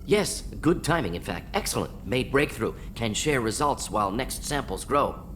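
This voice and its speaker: A brisk, brilliant alien scientist in a video game. He drops small words, firing off earnest, clipped fragments and lists in a mid-pitched voice. A faint rumble of noise sits behind him. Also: sing-song, fairly bright tone. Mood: brisk, pleased. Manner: clipped telegraphic phrasing, rapid-fire listing, earnest.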